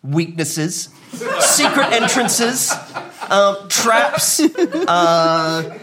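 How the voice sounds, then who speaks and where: A man's voice: sits high, big pitch swings, extremely slow, very noisy. A man improvising a mock-earnest, hesitant argument as a comedy panellist. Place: live studio with audience.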